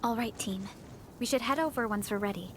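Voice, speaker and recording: An actress playing a composed, vigilant female team leader in a sci-fi game, with a measured, authoritative manner. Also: fairly high pitch, background noise, natural voice. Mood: calm, measured. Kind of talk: video game voice acting.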